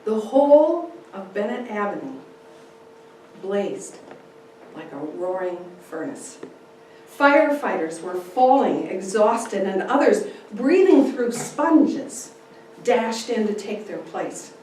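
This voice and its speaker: A woman's voice: medium pitch, sing-song, darkish tone. Theatrical woman storyteller in a very echoey room. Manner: theatrical, deliberate. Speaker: speaker giving a dramatic reading. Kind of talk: dramatic first-person story narration. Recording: echoey room, distant mic, faint noise.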